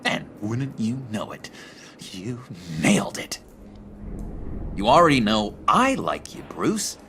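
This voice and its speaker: A man playing a needy, unhinged misfit in a video game, gushing and halting as he pleads for friendship. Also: mid-range voice, wildly swooping pitch, a little hiss or hum, full character voice. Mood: eager, nervy. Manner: gushing, halting, giggly, swinging between chirpy and hushed.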